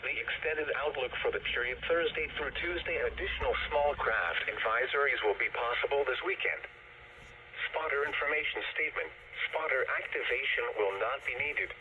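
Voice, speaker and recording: A man's voice: mid-range voice, normal speed. Tinny radio man reading forecasts through static. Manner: formal, impersonal, clipped phrasing. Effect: radio broadcast. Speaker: weather radio announcer. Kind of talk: scripted weather forecast and marine bulletin readout.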